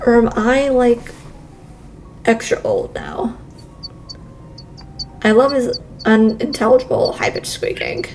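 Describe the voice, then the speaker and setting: A woman's voice: fairly high pitch, huge pitch swings, slow, long pauses, darkish tone, a little hiss or hum, rough recording. A woman doing a casual livestream playthrough, reading game text aloud and adding informal, wry asides. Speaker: streamer playing and reacting to visual novels.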